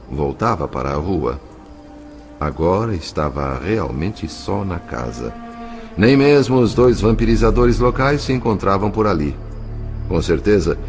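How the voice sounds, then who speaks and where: A man with a Brazilian accent narrating an audio novel in a solemn, literary, carefully phrased style. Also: deep voice. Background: faint music.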